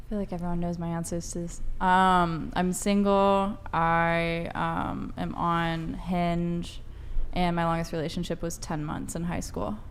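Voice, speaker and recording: A woman in her twenties, a podcast guest, giving quick matter-of-fact answers. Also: fairly low pitch, level tone, slight background noise. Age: 20s.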